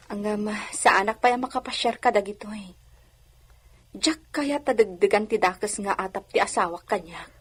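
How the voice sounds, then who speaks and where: Husky, genteel young lady, played by a woman. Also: mid-range voice, normal speed, close to an everyday voice. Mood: guarded, polite. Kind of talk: audio drama acting.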